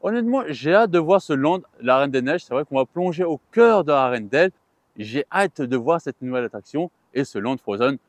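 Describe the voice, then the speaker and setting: A man's voice: mid-range voice, no echo. A man co-hosting a chatty vlog, talking in a playful, joking way. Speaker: vlog co-host. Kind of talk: chatty theme park news vlog.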